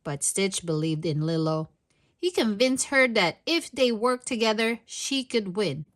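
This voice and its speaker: A woman's voice: mid-range voice, animated, a little slow. A woman hosting a children's read-aloud, narrating expressively and addressing young listeners directly. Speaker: storytime host and narrator.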